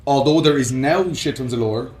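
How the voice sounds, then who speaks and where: A man's voice: medium pitch, moderate pace, a little mellow. Man chatting casually in an echoey room. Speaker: podcast co-host. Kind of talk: casual chat about video games and films. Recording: roomy echo, music underneath.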